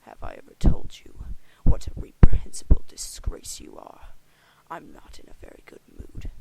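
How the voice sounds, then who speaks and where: A woman's voice: moderate pace, in a whisper, close to an everyday voice, low-quality recording. A woman voicing a haughty, imperious alien troll who scolds in formal, pompous language.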